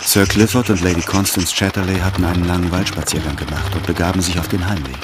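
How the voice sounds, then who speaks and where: An actor as the composed, grave narrator of a literary radio drama, telling the story in scripted prose. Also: male voice, low-pitched, steady tone, fast, lightly acted. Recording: loud music under the voice.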